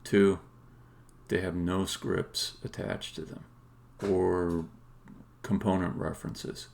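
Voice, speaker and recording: Male game developer streamer, deep and heavily creaky, casual muttered think-aloud, hesitant phrasing. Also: level tone, darkish tone, a little hiss or hum. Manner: halting, muttering to himself while working. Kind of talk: casual think-aloud live-coding commentary.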